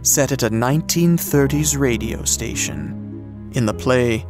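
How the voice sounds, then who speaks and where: A man's voice: medium pitch, measured pace. A man hosting a show, reading a scripted radio-style introduction as announcer. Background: music.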